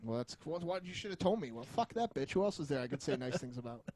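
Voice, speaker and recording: A man's voice: mid-range voice, very animated, quick pace, a little mellow, a little hiss or hum. A man chatting casually as a podcast co-host, rambling and joking with frequent chuckles and some swearing. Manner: jokey, foul-mouthed, rambling, often chuckling.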